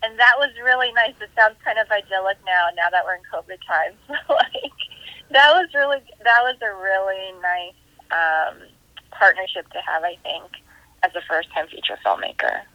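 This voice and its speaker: A woman director on a remote festival Q&A, thinking aloud in a bright, forward voice over a slightly lo-fi connection. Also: medium pitch, expressive, normal speed, no echo. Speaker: independent filmmaker being interviewed. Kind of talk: reflective interview answers, casual.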